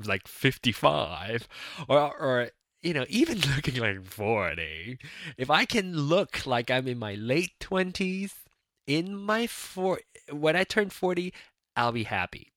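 Man, late thirties, solo podcast host, rambling casual chat, lively swooping pitch, dry close sound. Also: dry recording. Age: 30s. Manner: chatty, rambling, laughs often.

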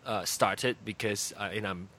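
A man's voice: on the low side, a little fast, bright, forceful tone. Chatty Malaysian man hosting a podcast. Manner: chatty, giggly, campy asides. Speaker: podcast host. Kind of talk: casual podcast chat.

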